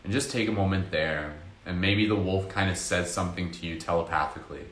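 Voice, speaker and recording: A man with a very deep voice leads a guided meditation in a slow, soft, hypnotic way, dropping in casual fillers as he goes. The room around him is echoey. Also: a little hiss or hum.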